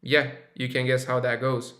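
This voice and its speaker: Man, from Romania, live-stream host, casual, thinks aloud, uses lots of fillers, medium pitch, echoey room. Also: moderate pace. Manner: relaxed, thinking aloud with many fillers and restarts. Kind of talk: casual live-stream chat, reading viewer questions.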